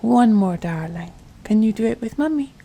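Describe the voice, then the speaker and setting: Woman acting as doting mother, mellow soft voice, breathy, near-whisper, gentle, soothing, bedtime ASMR roleplay. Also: fairly high pitch, animated. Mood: soothing, hushed. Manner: slow, gentle, soothing, affectionate. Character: tender, doting mother soothing her little girl to sleep.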